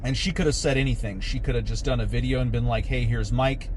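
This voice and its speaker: A man's voice: mid-range voice, steady tone, quick pace, darkish tone, slight background noise, poor audio. A man giving a casual, opinionated commentary rant in a blunt, exasperated way, swearing and often tacking on "right?". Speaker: video commentator.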